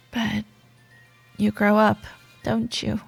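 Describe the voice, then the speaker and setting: A woman playing a proud, teasing yet wistful rival coworker in an intimate ASMR romance roleplay monologue, with playful banter, sighs and soft pauses. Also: medium pitch, monotone, gravelly, slightly rough, faint music behind, exaggerated voice. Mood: wistful, nostalgic. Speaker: audio roleplay performer.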